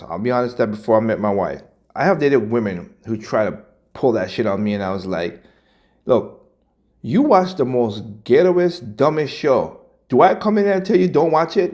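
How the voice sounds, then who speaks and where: A man in his forties hosting a gaming channel, speaking in casual commentary, often rising to loud, emphatic outbursts. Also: on the high side. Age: 40s. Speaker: channel host reacting to clips.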